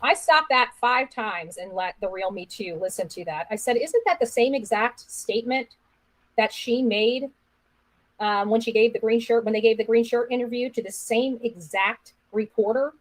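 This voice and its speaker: A woman's voice: on the high side, normal speed, low-quality recording. A woman giving opinionated live-stream commentary in an accusatory, insistent manner, repeating phrases for emphasis. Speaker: online commentator.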